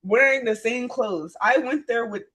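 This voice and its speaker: Young female interview guest, high voice, frank and emphatic, informal slangy storyteller. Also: unhurried, a bit bright. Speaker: interview guest, trade program graduate. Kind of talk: candid casual storytelling.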